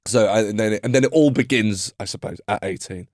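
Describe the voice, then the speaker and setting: A man's voice: fairly low pitch, darkish tone, no room sound. Chatty male podcast host, dry close mic. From London. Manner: chatty, quick to laugh, leading questions. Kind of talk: casual interview chat. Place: podcast studio.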